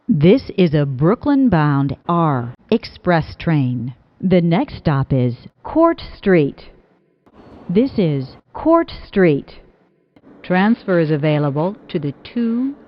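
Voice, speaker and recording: A woman reading scripted subway announcements in measured, spliced-together phrases, as a train announcer. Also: low voice. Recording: dull lo-fi announcement clips.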